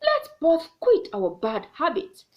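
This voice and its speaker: A woman's voice: very high voice, wildly swooping pitch, slow pace, dull audio, exaggerated voice. A woman voicing an annoyed, stubborn storybook monkey in a scolding, insistent manner.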